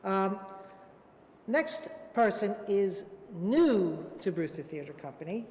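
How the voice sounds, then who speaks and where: A man from Brooklyn hosting a theater storytelling show, relaxed and joking, with filler ums. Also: sits high, slow. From Brooklyn, New York. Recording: echoey hall, faint ambience.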